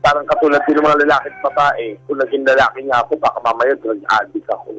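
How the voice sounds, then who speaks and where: A man's voice: medium pitch, flat delivery, quick pace, bright, forceful tone, no room sound, soft background music, low-quality recording. A man with a Filipino accent speaking politely and casually as a guest sharing personal experiences. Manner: polite, using respectful particles. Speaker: guest telling their own story.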